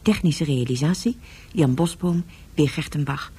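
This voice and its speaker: Low, dark, husky woman announcer. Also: expressive, a little slow. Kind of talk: scripted credits announcement.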